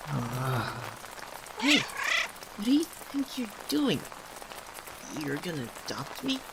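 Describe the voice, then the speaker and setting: Man voicing a haughty, flustered cat deity, slow-paced. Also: on the high side, huge pitch swings, gravelly, noisy background, full character voice. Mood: excited, outraged. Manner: haughty, flustered, theatrical, easily indignant. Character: banished proud young male forest deity, cursed into cat form. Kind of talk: scripted ASMR roleplay addressed to the listener. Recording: rain sounds over the voice. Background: rain ambience.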